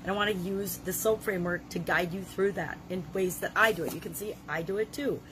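A middle-aged woman with a gravelly voice from a cold, leading a self-help challenge in an earnest, conversational way. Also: fairly low pitch, brisk, fairly bright tone, slight background noise, low-quality recording. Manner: earnest, conversational, with frequent restarts. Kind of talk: motivational talk to camera.

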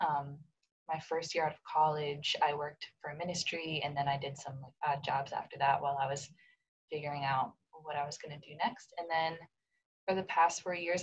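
A woman's voice: low-pitched, dull audio. A woman in her twenties, a podcast guest, telling her background casually with fillers and light laughs. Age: 20s. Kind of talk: casual conversational storytelling.

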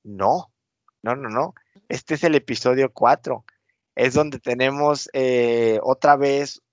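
A man's voice: mid-range voice, slow, no room sound. A man, casually recapping a TV episode as co-host, rambling with many fillers. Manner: rambling, many fillers, acts out quotes with laughs. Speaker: podcast co-host. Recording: dull lo-fi sound.

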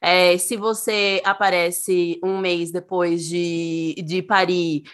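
A woman's voice: on the low side, little pitch movement, slow pace, fairly bright tone, smooth. A woman content creator in casual conversation, speaking emphatically and animatedly, with frequent laughter.

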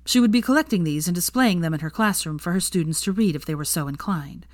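A woman's voice: on the low side, fast, no echo, studio quality. A woman reading fan fiction aloud as scripted story narration.

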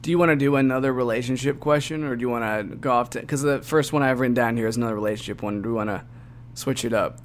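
A young man co-hosting a casual advice podcast, relaxed and talkative, peppering his talk with "like" and "dude". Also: medium pitch, rapid-fire, a little hiss or hum. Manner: relaxed, uses "dude" and "like" a lot. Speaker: podcast co-host.

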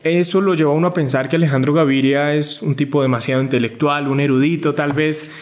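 A man's voice: a little high, fairly even intonation, fast talker, slight background noise. A man hosting an interview podcast, asking conversational questions with frequent fillers. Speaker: podcast host.

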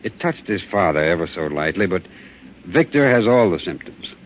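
An actor playing a grave family doctor in old-time radio drama, measured, questioning and authoritative. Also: male voice, deep voice, big pitch swings. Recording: tinny, noisy old radio recording.

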